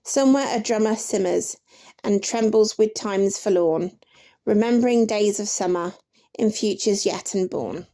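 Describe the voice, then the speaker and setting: A woman reading literary excerpts aloud as a narrator, in a measured, lyrical way. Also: medium pitch, mellow. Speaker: reader of book excerpts.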